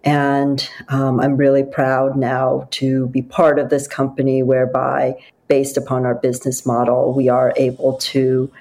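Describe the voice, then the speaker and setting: Deep-voiced woman, measured interview guest. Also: completely flat delivery, dark tone. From South Korea. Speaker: company executive guest. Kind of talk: interview answers.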